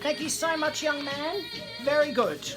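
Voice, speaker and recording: Gushy high-voiced lady doing show and tell, theatrical, music going behind her. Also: unhurried. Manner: theatrical, gushing, addresses audience as darlings. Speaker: host of an online children's story time. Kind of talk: chatty show and tell to viewers. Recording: music playing in the background. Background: background disco music.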